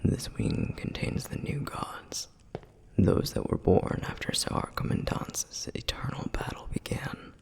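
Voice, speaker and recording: A duty-bound flame demon, played by a man, murmurs his world's lore in a husky, gravelly whisper, taking his time over each phrase. His slow, unhurried delivery still rises and falls widely in pitch. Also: huge pitch swings, full character voice. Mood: hushed, musing. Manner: soft whispered storytelling. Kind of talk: ASMR roleplay.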